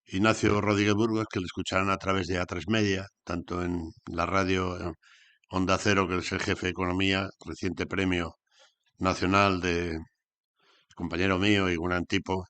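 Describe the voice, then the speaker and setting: A man with a very deep voice runs a conference and announces the sessions to come. He talks briskly, joking along the way and filling gaps with small hesitations. The sound is dry and close, with no room echo. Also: a bit bright, clean tone. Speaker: conference moderator.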